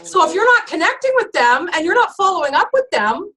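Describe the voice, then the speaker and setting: A woman leading her sales team in a casual motivational chat, emphatic and animated, often turning to the listeners directly. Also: very high voice, brisk, slight background noise. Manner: emphatic, animated, keeps addressing listeners as "you guys".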